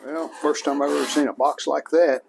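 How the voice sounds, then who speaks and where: Gravelly man talking through a hands-on repair. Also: a little high, steady tone, noisy background. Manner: unhurried, plainspoken, talking in short phrases while working. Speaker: host of a vintage electronics repair channel. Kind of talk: casual hands-on repair demonstration.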